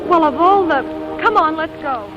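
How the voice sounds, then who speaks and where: Sharp-tongued romantic heroine, high-pitched and sardonic, vintage radio sound with muffled top end. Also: female voice, lively intonation. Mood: wry. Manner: sardonic, quick with wry retorts. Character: sharp-tongued, skeptical woman pursued by her old sweetheart. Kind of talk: 1940s radio romantic comedy acting. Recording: dull vintage radio recording, music behind.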